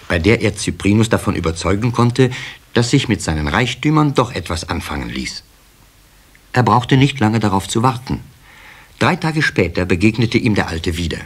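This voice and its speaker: A man's voice: fairly low pitch, slightly rough. A man narrating a scripted children's story in a radio play.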